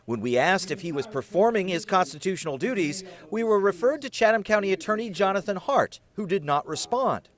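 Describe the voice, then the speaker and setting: A man's voice: high-pitched, expressive, a little fast, hardly any pauses. An American man reading scripted investigative news as a television reporter, with a serious, emphatic broadcast delivery.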